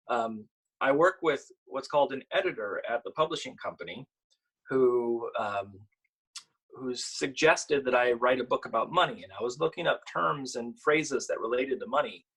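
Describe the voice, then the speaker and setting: A man who works as a children's author, talking casually and explaining in a halting, conversational way during a storytime. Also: mid-range voice, fairly bright tone, husky, low-quality recording. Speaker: picture book author and illustrator. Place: at home, online video event.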